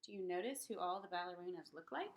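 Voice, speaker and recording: A woman reading a children's picture book aloud in an expressive, dramatic way for young listeners. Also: on the low side, fast. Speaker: read-aloud storyteller.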